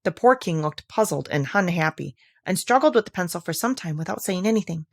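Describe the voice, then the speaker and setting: A woman reading a children's story aloud as an expressive narrator. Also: lowish voice, fairly quick, no echo, studio quality. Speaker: audiobook reader.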